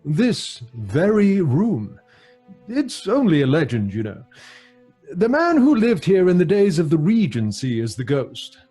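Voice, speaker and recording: A husky English father storyteller. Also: a little high, huge pitch swings, measured pace, mellow, soft background music, exaggerated voice. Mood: grave storytelling. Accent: British English. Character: genial middle-aged English father relishing a grim ghost legend. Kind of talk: old-fashioned radio drama acting.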